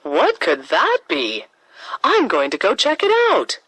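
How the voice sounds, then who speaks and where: Casting: eager, well-mannered little fairy-tale girl woman acting, expressive, higher-pitched. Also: unhurried, husky, no room sound, heavily acted, rough recording. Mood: curious. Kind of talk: children's storybook character voice.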